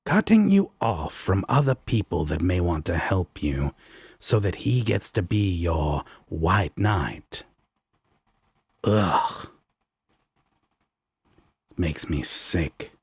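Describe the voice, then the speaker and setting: A man playing a sardonic, protective and affectionate devil roommate in a romantic audio roleplay, with a deep husky voice and blunt, coaxing talk. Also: big pitch swings, slow pace, pauses often, no echo, full character voice. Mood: sardonic, disgusted.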